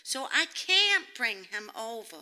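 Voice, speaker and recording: A woman's voice: a little high, very animated, a little slow, pushed and bright, slight background noise, exaggerated voice. A woman playing a mischievous, insistent little girl who repeats her questions, in the style of 1940s radio comedy. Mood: matter-of-fact.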